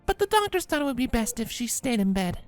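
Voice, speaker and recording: A man voicing a considerate, sensible female pony in a storybook reading, gentle and explanatory. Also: extremely high pitch, sing-song, fast talker, faint music behind, voice pushed up. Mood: careful, sympathetic. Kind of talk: dramatised storybook fan fiction reading.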